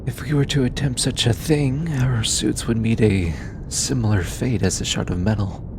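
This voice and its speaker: A man voicing an anxious, earnest alien scientist in an intimate audio roleplay, hesitant and halting, as if easily flustered. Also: steady tone, lightly acted. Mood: grave, concerned. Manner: hesitant, halting, stammering, sighing. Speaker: voice actor. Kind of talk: scripted audio roleplay, intimate one-sided dialogue. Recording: clearly noisy, low rumbling background.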